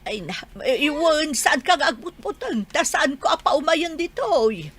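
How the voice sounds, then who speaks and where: A woman's voice: sits high, very animated, moderate pace, a bit bright, slight background noise. A woman playing a caring, plain-spoken grandmother in a radio drama, motherly and admonishing. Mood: soothing. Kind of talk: Ilocano radio drama acting.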